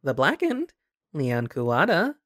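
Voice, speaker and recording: A man's voice: medium pitch, wildly swooping pitch, a little slow, fairly bright tone. A man voicing a gleeful, taunting bear mascot villain from a video game, mock-polite and theatrical.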